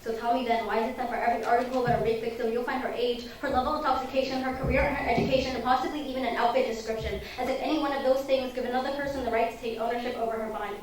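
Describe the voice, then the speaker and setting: A woman's voice: fairly high pitch, fairly even intonation, racing, hardly any pauses. A young woman reciting spoken word poetry in a dramatic, impassioned way. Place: event hall before an audience. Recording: echoey hall, distant mic, faint noise.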